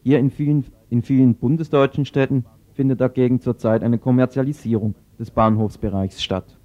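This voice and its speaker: A man with a dark, mellow mid-pitched voice reads a scripted radio report. The recording is slightly dull and lo-fi. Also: fairly even intonation, brisk.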